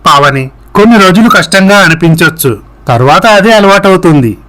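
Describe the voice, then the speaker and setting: A man's voice: high voice, animated, moderate pace, a bit bright. A man playing an earnest, persuasive young man in love in a story, pleading and reassuring.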